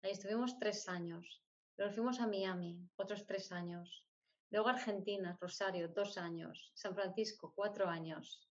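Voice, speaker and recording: A dull, lo-fi recording of a middle-aged woman with a mid-pitched voice. She is an interview guest telling personal stories, stacking short phrases and repeating them for emphasis. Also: fairly even intonation, moderate pace. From born in Spain, grew up moving between countries. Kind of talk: personal storytelling in conversation. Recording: dull, lo-fi audio.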